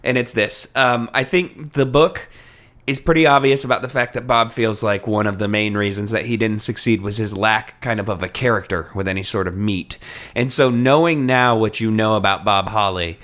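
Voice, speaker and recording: A man's voice: fairly low pitch, fairly bright tone. A man co-hosting a podcast in casual, joking conversation, informal and free with swearing. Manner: jokey, informal, swears freely. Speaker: podcast co-host. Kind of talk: casual chat, comedic book discussion.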